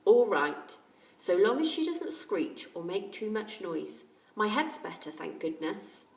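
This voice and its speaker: A woman's voice: medium pitch, sing-song, unhurried, gravelly. A woman voicing a weary, grumpy boy with flu in a storybook reading. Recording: roomy, distant microphone.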